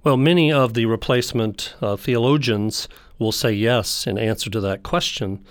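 A man's voice: mid-range voice, moderate pace, dry recording, clean studio sound. A man speaking as an interview guest, explaining like a theology teacher.

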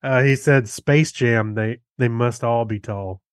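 A man with a middling pitch chats as a podcast co-host. His delivery is easygoing and somewhat halting as he reads things out, and the sound is dry with little room on it. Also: clean tone, no echo. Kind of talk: casual chat, reading out listener comments.

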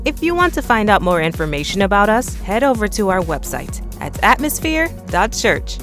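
A woman reading a scripted welcome at the start of a church podcast, in a smooth, even delivery. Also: mid-range voice. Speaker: podcast announcer. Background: music.

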